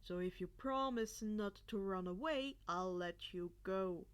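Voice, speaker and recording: A woman voicing a patient adult male hero and teacher, careful, calm and reassuring in an audio story. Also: mid-range voice, a little slow, smooth, voice pushed down, natural voice. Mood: firm concern.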